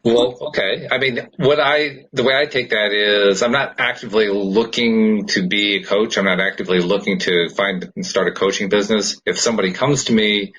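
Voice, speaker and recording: Male host, very low and husky, earnest explainer in an informal chat style. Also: steady tone, moderate pace, few pauses, a bit bright, low-quality recording. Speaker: podcast host. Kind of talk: casual conversational podcast chat.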